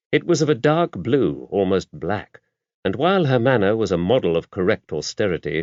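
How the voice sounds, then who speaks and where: A man reading mystery fiction as an audiobook narrator, in a measured, expressive storytelling style. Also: sits low, no echo. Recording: slightly dull, dry audiobook recording.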